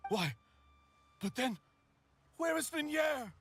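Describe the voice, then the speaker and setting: Desperate, halting murderer. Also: male voice, very high-pitched, animated, fairly bright tone, full character voice. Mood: shaken, confused. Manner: halting, stammering, pleading. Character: desperate male murderer, obsessed with his lost beloved. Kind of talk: dramatic video game voice acting.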